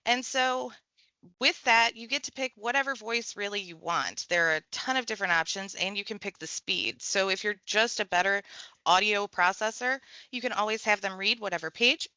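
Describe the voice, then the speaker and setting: A woman walking through a software tutorial step by step, in a relaxed conversational way. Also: mid-range voice, moderate pace, no echo, dull audio. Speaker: tech trainer. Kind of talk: screen-share software tutorial.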